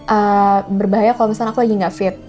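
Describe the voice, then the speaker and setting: A woman's voice: mid-range voice, fairly even intonation, fast talker, over music. A woman chats casually and expressively as an interview guest, mixing in English phrases. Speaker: singer-songwriter guest.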